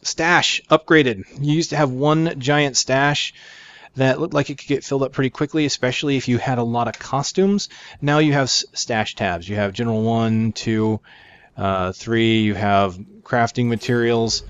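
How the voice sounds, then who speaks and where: A man's voice: mid-range voice, steady tone, moderate pace, a bit bright, clear, resonant tone. A man hosting a gaming video, explaining things casually and in an upbeat way as he plays. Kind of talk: casual game preview commentary. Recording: dry, slightly dull microphone.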